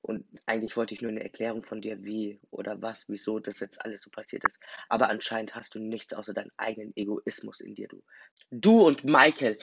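Man shouting as a furious new vampire. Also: lowish voice, creaky. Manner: shouting accusations. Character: furious young man newly turned vampire. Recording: dull dry recording, faint music.

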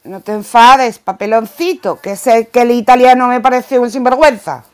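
Woman acting feisty high-voiced wife. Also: smooth, lightly acted. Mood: wary, placating. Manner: brisk, colloquial. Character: feisty, shrewd, protective Spanish immigrant wife. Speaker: radio drama actor. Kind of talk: radionovela acting.

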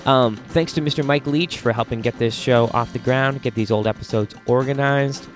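A man's voice: medium pitch, normal speed, faint music behind. A man hosting a podcast in a casual, enthusiastic, rambling style. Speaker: podcast host.

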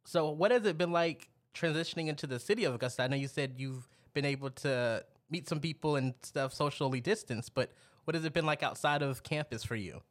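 A man's voice: on the high side, fairly quick. Young man, upbeat casual podcast host. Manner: upbeat, casual, says "like" often.

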